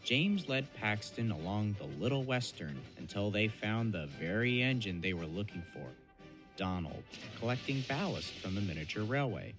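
A man's voice: fairly low pitch, animated, normal speed, lightly acted. A man reading scripted storybook narration for a cartoon train tale. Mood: storytelling. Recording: music behind the voice.

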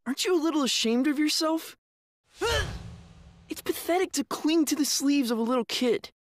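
A woman's voice: high voice, moderate pace, close to an everyday voice. A woman voicing a blunt, sullen young boy in an anime dub, speaking in a matter-of-fact way. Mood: scornful.

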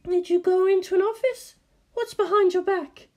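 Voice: extremely high pitch, steady tone, moderate pace. A performer voicing a polite female office receptionist with a sinister edge in a film script reading. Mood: polite, probing.

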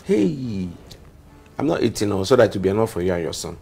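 A man's voice: lowish voice, very animated, fast, creaky, dark tone, faint music behind. A man with a Nigerian accent playing a jobless, suspicious husband who taunts and exclaims in a screen drama. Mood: scornful.

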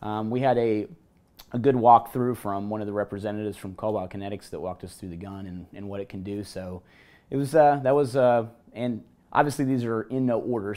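Guy in his 30s, voice gone hoarse, chatty gear vlog host, lots of energy. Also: fairly low pitch. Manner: energetic, talks with his hands. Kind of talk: casual gear review vlog. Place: hotel balcony and hotel room.

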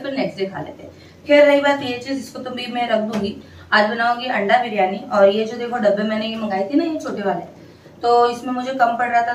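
A young woman talks casually to her viewers from her home kitchen, chatty and relaxed as she walks through her errands and cooking. Her voice sits a little high. A faint hiss of room noise runs underneath. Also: echoey room, far from the mic, low-quality recording. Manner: chatty, talks to her baby in a sing-song coaxing way. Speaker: family vlogger. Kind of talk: casual home vlog chat.

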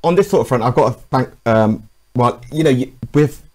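Fast-talking middle-aged male cyclist. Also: medium pitch, lively intonation. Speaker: charity cyclist guest. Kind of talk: studio interview. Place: TV studio.

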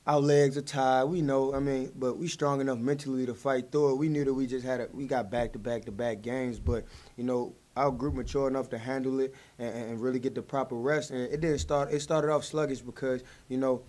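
A young man, a college basketball player, giving laid-back, low-key answers at a post-game press conference into a table mic. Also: fairly even intonation, fairly quick, few pauses, a little mellow. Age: young adult. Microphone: table mic in front.

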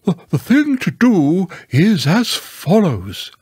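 A man's voice: high voice, huge pitch swings, a little mellow, breathy edge, dry recording, studio quality, pitched above the performer's own voice, exaggerated voice. A man voicing a pompous, long-winded male owl in a storybook, self-important and easily affronted. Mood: officious, instructive. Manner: pompous, drawn-out delivery of formal phrases. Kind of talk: storybook character voice.

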